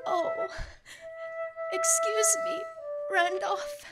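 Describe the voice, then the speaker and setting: A young actress plays a smitten shop lady from an old radio comedy. Her voice is high and she takes her time, coy and gushing with each line. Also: fairly even intonation, drawn-out words, loud music behind the voice, heavily acted. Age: young adult.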